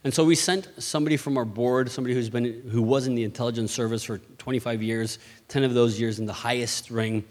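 A man's voice: mid-range voice, fairly even intonation, quick pace, a little mellow. A man from Mexico who leads a church missions ministry, giving an earnest presentation with frequent restarts and repeated words. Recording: long hall reverb.

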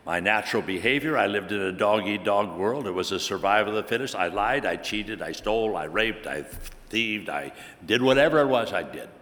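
A man from Hungary, preaching a sermon with halting restarts and emphatic scripture quotes. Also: fairly low pitch, animated, a bit bright. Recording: long hall reverb.

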